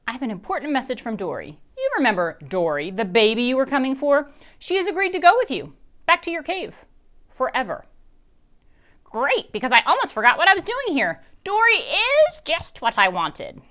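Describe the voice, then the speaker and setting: A woman voicing an excitable, overdramatic little girl in a storybook read-aloud. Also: high-pitched, normal speed, pitched above the performer's own voice. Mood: excited.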